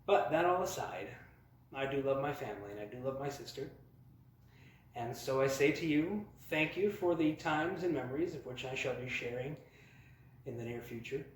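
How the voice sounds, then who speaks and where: A man's voice: mid-range voice, slight background noise. Theatrical male storyteller in a very echoey room. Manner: theatrical, mock-grand emphasis with dramatic pauses. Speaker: storyteller, host of a storytime series. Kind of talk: humorous personal storytelling to camera. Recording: very echoey, roomy sound.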